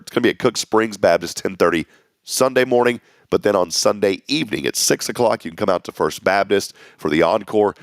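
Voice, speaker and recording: Host, man, deep and hoarse, upbeat announcer, dry studio sound. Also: no echo. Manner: folksy, upbeat community announcer. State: losing his voice. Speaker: morning show host. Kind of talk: local morning show announcements. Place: small local TV studio.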